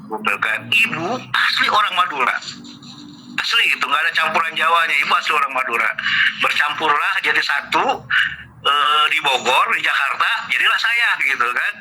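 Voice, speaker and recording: A middle-aged man from Indonesia talks in a loose, rambling way and often stops to correct himself mid-sentence. His voice sits a little high and rings very bright. A faint hiss of noise runs underneath. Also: expressive, moderate pace, poor audio. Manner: rambling, self-correcting, chuckling. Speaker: guest. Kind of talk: casual alumni chat.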